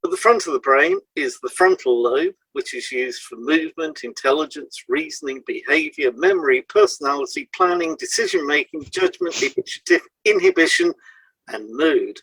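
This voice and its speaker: A man's voice: on the high side, sing-song, gravelly, a bit bright, husky, no echo. Man reading explanatory material, muffled dry sound. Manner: measured, explanatory, lists items one by one. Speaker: podcast presenter and hypnotherapist. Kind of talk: scripted educational narration.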